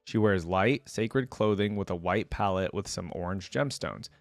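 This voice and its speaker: A man's voice: sits low, normal speed, no echo. A man doing casual reaction commentary and reading aloud, with an enthusiastic, slangy, chatty way of talking to viewers. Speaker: reaction video creator.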